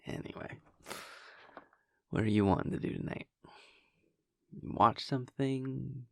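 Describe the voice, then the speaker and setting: Casting: male actor as a wry, affectionate vampire boyfriend for intimate audio roleplay; unhurried, gravelly, casual and teasing. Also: mid-range voice, steady tone, fairly bright tone, no echo. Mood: playful, fond. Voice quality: breathy, gravelly, often dropping near a whisper. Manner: wry, teasing, hesitant, chuckling casual delivery.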